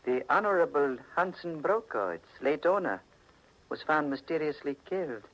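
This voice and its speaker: An actor playing a gaunt, menacing collector who speaks with formal, measured politeness in 1940s radio drama style. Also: male voice, fairly high pitch, lively intonation, a bit bright, low-quality recording. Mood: suave menace.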